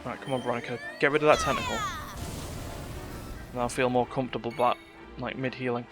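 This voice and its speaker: A man's voice: mid-range voice, steady tone, fast talker. A man giving live gameplay commentary, talking to himself and reacting as he plays. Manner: muttering to himself and reacting to play. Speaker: game streamer. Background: game music.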